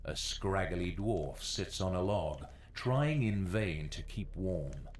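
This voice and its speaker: Deep, slow dungeon master narrator played by a man. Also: animated, a little hiss or hum. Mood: ominous, deliberate. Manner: slow, deliberate, grave. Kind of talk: atmospheric fantasy game narration.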